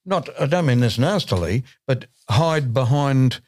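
A man co-hosting a podcast in casual chat, asking probing questions in a measured way. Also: mid-range voice, lively intonation, a little mellow, no echo, clean studio sound. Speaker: podcast co-host.

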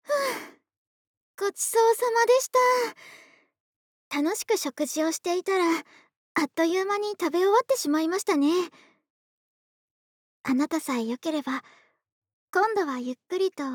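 A voice actress playing a polite, doting young woman next door in an intimate, soft-spoken ASMR roleplay. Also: very high-pitched, expressive, moderate pace, long pauses, no echo, studio quality. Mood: happy, tender. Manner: soft-spoken, courteous, polite speech, affectionate. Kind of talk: Japanese ASMR situation voice drama, intimate roleplay. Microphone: close studio microphone, dry.

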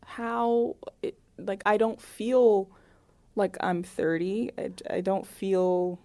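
A woman's voice: mid-range voice, fairly even intonation, slow pace, warm tone. A woman in her late twenties chatting casually as an interview guest, often peppering her talk with "like". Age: late 20s.

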